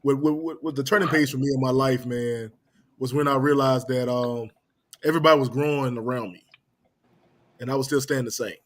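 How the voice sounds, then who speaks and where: A man's voice: medium pitch, fairly even intonation, brisk. A man in his thirties hosting a podcast, talking loosely and repeating "man" and "you know what I'm saying" as he goes. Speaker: podcast host. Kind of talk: casual podcast chat.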